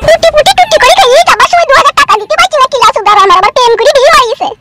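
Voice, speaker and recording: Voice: very high voice, animated, racing. A performer voicing a grasping village auntie in a comic cartoon. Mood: grumbling. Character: grasping village landlady aunt, sweet-talking then grumbling, cartoon woman. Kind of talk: comic Bengali cartoon dubbing.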